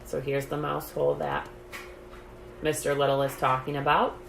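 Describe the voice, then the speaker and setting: A woman reading a children's book aloud as a storytime host, in a measured storytelling way. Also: low-pitched, completely flat delivery, vocal fry. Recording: roomy echo, light background noise.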